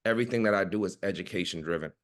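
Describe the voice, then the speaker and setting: Low-voiced man giving informal personal testimony. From Guatemala and Oakland, California. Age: 30s. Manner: informal, halting, repeats words. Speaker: educator and family organizer.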